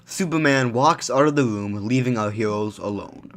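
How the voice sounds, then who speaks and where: A man with a medium-pitched voice serves as narrator. He reads scripted audio drama action and scene descriptions. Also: normal speed, fairly bright tone.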